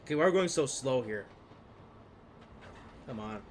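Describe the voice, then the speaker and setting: A man's voice: medium pitch, animated, normal speed, a little hiss or hum. A young man with a slightly stuffed-up voice from a cold, casually commenting on his gameplay with many fillers and trailing asides. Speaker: gaming video host.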